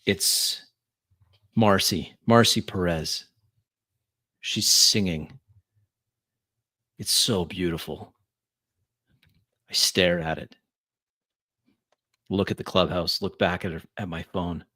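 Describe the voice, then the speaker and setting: A man narrating his character's actions in a tabletop roleplay, in measured, dramatic short phrases. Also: deep voice, fairly even intonation, sharp tone, dry recording. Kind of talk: immersive first-person roleplay narration.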